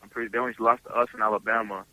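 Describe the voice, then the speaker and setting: A young man in his early twenties answers interview questions as a college athlete. His voice is fairly low and strikingly bright and forceful, recorded close and dry. He talks casually and haltingly, doubling back on words as he goes. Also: little pitch movement, no room sound, poor audio. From Toronto, Canada. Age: early 20s. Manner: halting, repeats words and restarts often. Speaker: college football player being interviewed.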